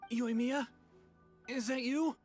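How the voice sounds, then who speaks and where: A man's voice: very high-pitched, fairly even intonation, takes it slowly, music in the background. A man voicing a nervous, hesitant, apologetic fugitive in a video game. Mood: anxious. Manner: hesitant, timid. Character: nervous, apologetic male fugitive customer in hiding. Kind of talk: English video game voice acting.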